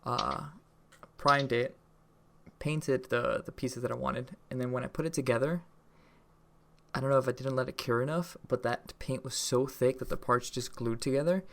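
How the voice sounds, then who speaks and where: A man's voice: medium pitch, fairly even intonation, moderate pace, gravelly, a bit bright, slight background noise. A man co-hosting a podcast, chatting and telling stories in an informal, casual way. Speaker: podcast co-host. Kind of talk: casual chat and storytelling.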